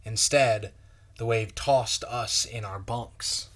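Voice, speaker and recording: A man, a pastor, reading a children's story aloud in a measured, expressive way. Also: on the low side, slight background noise.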